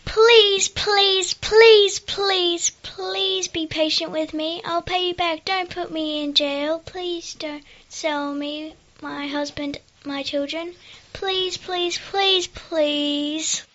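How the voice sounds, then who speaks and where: A woman's voice: very high voice, takes it slowly, a bit bright, highs cut off, full character voice. A woman playing a desperate, grasping servant in a children's Bible story. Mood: pleading.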